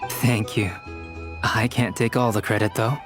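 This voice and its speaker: A man playing a calm, modest, scholarly alchemist in a video game, with a measured, polite, instructive manner. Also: lowish voice, over music, close to an everyday voice.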